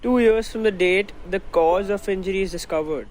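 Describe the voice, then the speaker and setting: An earnest lawyer acted by a young man presses his case in a radio play. His voice is high for a man, with faint noise behind it. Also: moderate pace, a little mellow, natural voice. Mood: firm. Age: young adult. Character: earnest, principled male plaintiffs' lawyer. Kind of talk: student radio drama acting. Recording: faintly noisy home recording.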